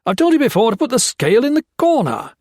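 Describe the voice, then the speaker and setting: A man voicing a defensive, blustering army colonel who snaps sharply and turns to shouting. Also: fairly high pitch, big pitch swings, brisk, dry recording, studio quality, voice pushed up.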